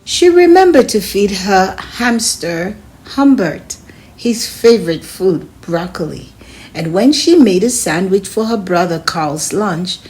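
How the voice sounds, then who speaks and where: A woman reading a children's story aloud in an expressive way, speaking to her young listeners as a host. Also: mid-range voice, slow pace, poor audio. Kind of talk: storybook read-aloud narration.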